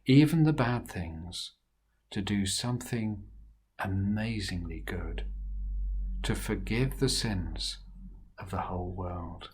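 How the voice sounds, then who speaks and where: Slow, animated male storyteller with husky voice. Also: fairly low pitch. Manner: dramatic, with hushed and emphatic moments. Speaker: children's storyteller. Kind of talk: expressive children's Bible story reading.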